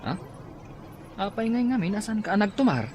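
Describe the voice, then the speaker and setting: A kind young Ilocano Filipino man in a radio drama, helpful and protective of his elders. His voice sits slightly high. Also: animated, moderate pace, background noise. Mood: concerned. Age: young adult. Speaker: actor.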